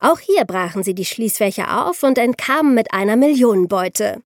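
A woman hosting a podcast, telling true-crime stories in a conversational way and addressing listeners directly, like an animated storyteller. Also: medium pitch, no echo, studio quality. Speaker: podcast host.

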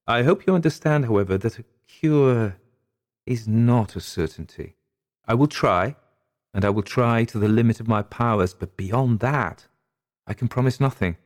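A man voicing a gallant, earnest gentleman physician with courtly, emphatic period diction, as in a dramatic audiobook. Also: medium pitch, normal speed, pitched above the performer's own voice, close to an everyday voice. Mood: courteous, earnest.

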